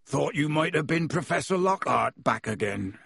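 A man voicing a big, gruff, kindly half-giant gamekeeper who growls in a rustic dialect. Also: fairly high pitch, expressive, moderate pace, a bit bright, dry recording, studio quality, pitched above the performer's own voice, heavily acted.

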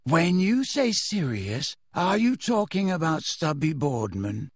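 A man voicing a dreamy, eccentric teenage girl in an audiobook, serene, vague and unhurried. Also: a little high, very animated, husky, dry recording, studio quality, pitched above the performer's own voice, full character voice. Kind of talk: audiobook character voice.

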